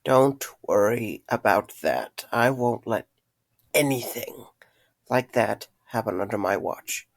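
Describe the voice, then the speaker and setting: A man acting an earnest, guilt-ridden man in an audio drama, with halting, serious delivery. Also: fairly low pitch, expressive, creaky, dry recording, heavily acted. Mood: reassuring. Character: earnest, guilt-ridden man confessing dark secrets to a friend.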